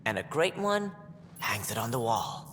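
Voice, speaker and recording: A man's voice: medium pitch, sing-song, a little slow, a bit bright. Sci-fi game casting: self-important male alien station director, formal politician's delivery, echoey hall with background noise. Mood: flattering, grand. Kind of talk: video game cutscene acting.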